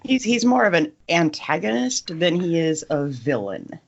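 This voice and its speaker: A man's voice: a little high, big pitch swings, moderate pace, vocal fry. A man hosting a podcast, speaking conversationally or reading aloud. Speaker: podcast host. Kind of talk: casual discussion, some read-out synopsis. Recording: muffled, dull audio.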